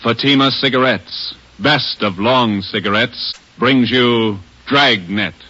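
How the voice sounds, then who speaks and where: A man announcing a program in a formal 1950s radio style, measured and emphatic. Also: mid-range voice. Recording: muffled old radio with music.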